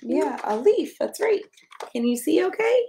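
A woman in her thirties reading a picture book aloud to a toddler, expressive and encouraging, coaxing the child along with questions. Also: high-pitched, unhurried, reverberant, slight background noise. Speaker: pastor reading a children's story. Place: small carpeted room at home, indoors. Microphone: camera a step or two away.

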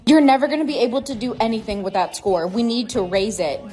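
A woman's voice: a little high, quick pace, dark tone, faint music behind, close to an everyday voice. A woman acting confident, blunt female characters in a scripted comedy skit. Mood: scolding.

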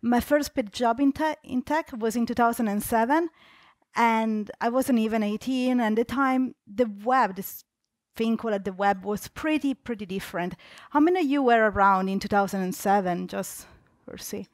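A woman's voice: a little high, normal speed, dry recording, clean studio sound. A young adult woman from Italy with an Italian accent, giving a conversational conference talk with many fillers and restarts. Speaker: conference speaker. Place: large dark auditorium stage.

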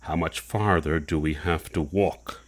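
A man's voice: a bass voice, fairly even intonation, a little slow, husky, heavily acted. An actor playing a condescending, scheming male villain in an audio drama, mock-courteous and theatrical. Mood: smug, mocking.

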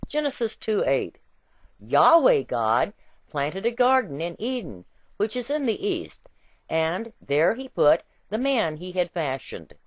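A woman reading a scripted essay with quotations in a deliberate, emphatic and wry storytelling way. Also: on the low side, no room sound, muffled recording. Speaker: reader.

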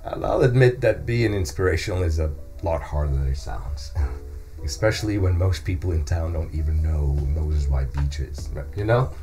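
A man's voice: rumbling low, expressive, normal speed, dark tone, soft background music. A middle-aged man playing a modest, earnest journalist being interviewed on a scripted podcast. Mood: earnest, humble. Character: modest, earnest male wire-service journalist. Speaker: guest journalist. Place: small furnished podcast studio. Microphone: studio microphone on a boom arm.

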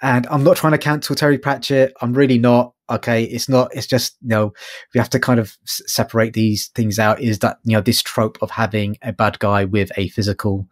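A man's voice: mid-range voice, level tone, a little fast, no echo, studio quality. A man co-hosting a podcast, discussing books casually and feeling his way tentatively through his points. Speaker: podcast co-host.

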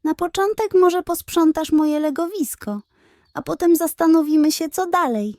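A woman voicing a young female pig in a children's storybook, earnest, measured and quietly crafty. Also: very high voice, no echo, studio quality, voice pushed up. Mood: kindly teasing. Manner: measured, earnest, gently reproachful.

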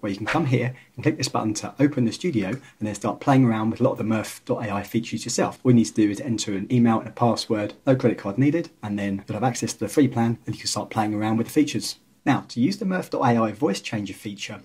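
A man with a husky voice narrating a software tutorial in a casual, explanatory way. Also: on the low side, fast talker, hardly any pauses. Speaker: tutorial host.

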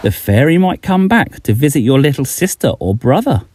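A man's voice: fairly high pitch, sing-song, moderate pace, a little mellow. A middle-aged man reading a rhyming bedtime story aloud, expressively, as a children's author addressing young listeners.

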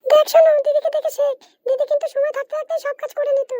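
A woman voicing a nagging mother in an amateur cartoon, with a pitched-up voice and scolding, repetitive manner. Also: level tone, very fast, warm tone, dry recording, studio quality. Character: nagging mother of two schoolchildren.

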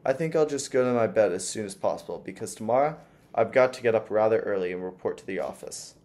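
A man's voice: on the low side, animated, fast, a little mellow, natural voice. A man voicing a polite, eager young male lodger who talks cheerfully and courteously.

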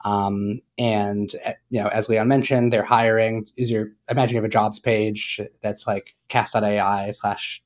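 A man's voice: fairly low pitch, level tone, normal speed, hardly any pauses. A young man hosting an interview over a video call, asking friendly, upbeat questions through earbuds. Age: young adult. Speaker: podcast host.

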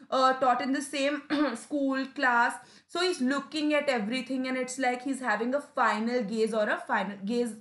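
A woman's voice: high voice, a bit bright. A young adult woman with an Indian English accent, a Hindi native speaker, explaining a story line by line as an English teacher, often asking "right?" and "you know". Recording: echoey room.

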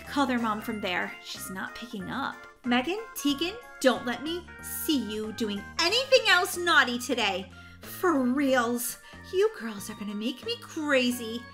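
A woman's voice: fairly high pitch, a bit bright. A woman playing a fussy, exasperated young babysitter, scolding and coaxing in an exaggerated way for a children's game roleplay.

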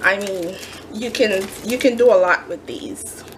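A woman's voice: medium pitch, unhurried, a little hiss or hum, low-quality recording. A woman chatting casually as she shows off a craft shopping haul, gushing with many fillers, recorded at a kitchen counter. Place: home kitchen counter, indoors.

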